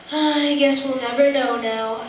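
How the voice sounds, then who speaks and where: A woman playing an anxious, sceptical male disciple in an amateur puppet show, speaking haltingly and earnestly. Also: high voice, fairly even intonation. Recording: very echoey, distant mic, noisy.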